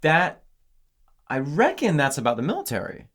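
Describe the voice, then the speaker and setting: A man co-hosting a casual podcast, talking excitedly about song lyrics with many restarts; English is not his first language. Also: on the high side, normal speed. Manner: animated, exclamatory, lots of restarts. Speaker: podcast co-host.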